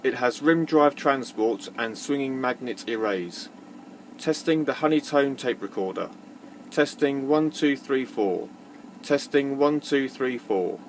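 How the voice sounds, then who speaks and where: A man giving a measured, matter-of-fact technical demonstration, as if presenting equipment from a script. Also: mid-range voice, slight background noise, low-quality recording.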